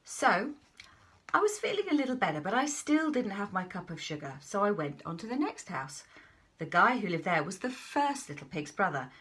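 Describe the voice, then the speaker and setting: A woman's voice: medium pitch, very animated, moderate pace, fairly bright tone, breathy edge. A woman reading a children's storybook as a folksy, aggrieved male wolf, confiding with exaggerated emphasis and protesting his innocence. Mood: confiding storytelling. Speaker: storybook reader.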